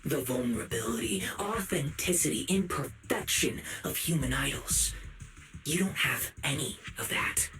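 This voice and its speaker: A man's voice: mid-range voice, animated, moderate pace, music in the background, natural voice. A man playing a sinister, taunting video game sprite who poses as a caring advisor, theatrical and falsely sympathetic. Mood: scornful mocking. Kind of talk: dramatic video game voice acting.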